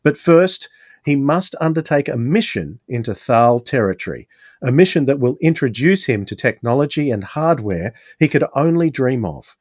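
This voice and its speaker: A man's voice: medium pitch, very animated, normal speed, darkish tone, dry recording, studio quality. A man presenting and discussing as a podcast host.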